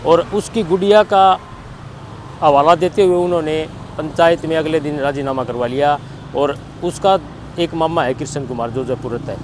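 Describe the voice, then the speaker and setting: Middle-aged Haryanvi man, higher-pitched, outdoor noise. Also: normal speed. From Haryana, India. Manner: plain-spoken, quotes reported dialogue as he narrates. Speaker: bereaved father giving a statement. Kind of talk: spontaneous testimony, recounting events.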